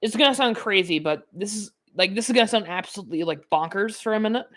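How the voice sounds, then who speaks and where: A woman's voice: mid-range voice, lively intonation, quick pace. A nineteen-year-old woman giving rambling, chatty commentary while playing a video game, full of "like" fillers and frequent laughs. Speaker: gaming YouTuber. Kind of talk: rambling let's-play commentary.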